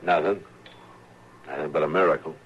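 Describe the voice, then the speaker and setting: Terse, grave marshal for radio western. Rock-bottom pitch, dull and faintly noisy vintage recording. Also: male voice, steady tone, moderate pace. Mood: grim, laconic. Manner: laconic, grim. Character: Matt Dillon, terse, grave frontier marshal. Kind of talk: old-time radio western acting.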